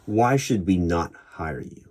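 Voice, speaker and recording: Male interviewer for ASMR roleplay: low pitch, measured questioning, typing and some background noise. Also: lively intonation, darkish tone. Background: computer keyboard typing and clicks.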